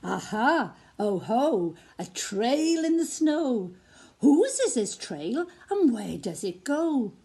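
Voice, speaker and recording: A woman voicing the Gruffalo's child, a young female monster, sounding bold and curious in a storybook reading. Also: fairly high pitch, big pitch swings, takes it slowly, warm tone, exaggerated voice. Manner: bold, curious, wide-eyed.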